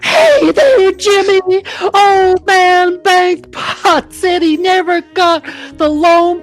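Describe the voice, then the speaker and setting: A woman's voice: extremely high pitch, pitched above the performer's own voice. A woman voicing a panicked male neighbor in a comic radio play, wailing and frantic.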